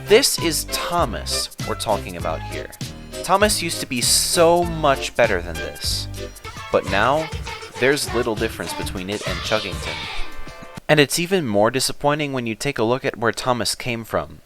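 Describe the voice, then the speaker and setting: A man's voice: mid-range voice, sing-song, normal speed, over music. A man narrating in the manner of a children's storybook reader. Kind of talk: storybook narration and spoken video introduction.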